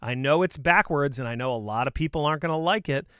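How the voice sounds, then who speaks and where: A man with a fairly high, very clean and smooth voice gives informal sales coaching. He is emphatic and conversational, driving his opinions home with punchy rhetorical questions. The sound is close and dry. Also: quick pace, a bit bright, dry recording. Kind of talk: informal opinionated sales advice talk to camera.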